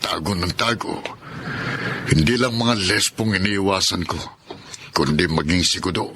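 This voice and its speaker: A low, hard-edged, forceful male villain with a Filipino accent, muttering to himself like a bitter thug on the run. Faint noise sits behind the voice. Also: unhurried. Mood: bitter, menacing. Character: brutal, vengeful fugitive addict, a menacing male villain. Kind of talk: Filipino radio drama acting.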